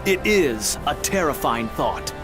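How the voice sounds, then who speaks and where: Anxious old governor, high-pitched. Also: male voice, lively intonation, slow. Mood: worried. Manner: formal, pleading. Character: anxious, humble old provincial governor of ancient China. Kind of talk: video game dramatic acting. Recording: voice under loud music.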